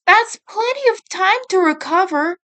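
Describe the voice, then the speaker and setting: A woman's voice: very high voice, expressive, a little slow, sharp tone, pitched above the performer's own voice, full character voice. A woman voicing an upset, tearful teenage boy turtle ninja who whines stubbornly in a fan story reading. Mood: whiny, stressed. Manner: whining, stubborn, sulky.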